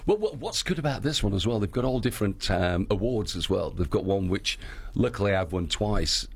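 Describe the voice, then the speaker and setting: A man who works as a town crier, chatting in an interview, talkative and quick to laugh. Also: lowish voice, expressive, husky. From Australia. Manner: chatty, laughs easily, acts out quoted voices. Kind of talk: casual interview chat.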